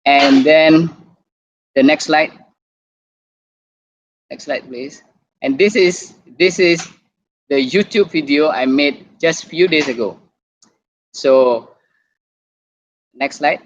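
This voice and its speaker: A man with an Indonesian accent giving an online talk as a doctor, halting and repeating words as he goes. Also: a little high. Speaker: doctor and guest speaker. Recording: muffled lo-fi video call.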